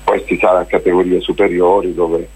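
A man speaks in a somewhat low, husky voice, heard on a radio broadcast. He rambles along as a guest, full of hesitations, fillers and restarts, and keeps circling back to his points. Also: little pitch movement, brisk, a little mellow, rough recording. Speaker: festival organizer, interview guest.

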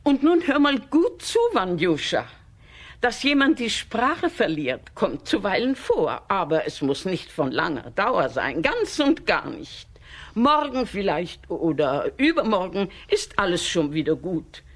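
Fussy peasant aunt, swooping speech. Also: female voice, medium pitch, very animated, measured pace. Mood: anxious, consoling. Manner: fussy, emphatic, coaxing. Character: worried, scolding motherly peasant aunt. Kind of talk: German children's radio drama acting.

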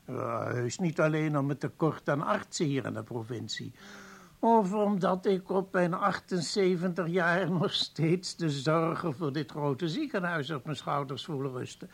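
Gravelly, kindly old professor. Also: male voice, a little high, measured pace, full character voice. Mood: warm, confiding. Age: 70s. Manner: hesitant, courteous, rambling. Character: kindly, wistful old professor heading a provincial hospital. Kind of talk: Dutch radio play acting.

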